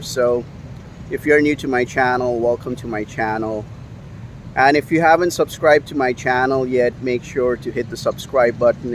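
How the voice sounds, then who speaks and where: A man's voice: medium pitch, slight background noise. A man from India vlogging outdoors on a city street, rambling with many fillers as he complains about his day. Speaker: YouTuber. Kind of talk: casual vlog monologue. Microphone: handheld camera.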